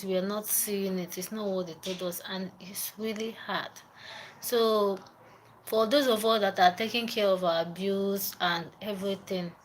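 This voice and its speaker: A woman's voice: medium pitch, a little hiss or hum, rough recording. Chatty young Nigerian woman livestreaming. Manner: chatty, repeats herself, greets viewers by name. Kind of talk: casual live-stream chat.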